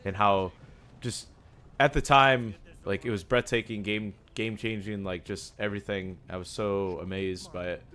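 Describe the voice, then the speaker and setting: Young guy with a raspy sore throat hyping up his modded game, mumbly then yelping. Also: moderate pace. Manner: excitable, exclaims often, jumps from low mumbles to loud yelps. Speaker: gaming YouTuber. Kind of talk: casual gameplay commentary.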